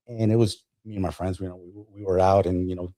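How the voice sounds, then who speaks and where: Low, mellow man in his fifties. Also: fairly even intonation, fast talker. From New Jersey, born in Colombia. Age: 50s. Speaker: podcast guest, Marine veteran. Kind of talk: casual podcast storytelling.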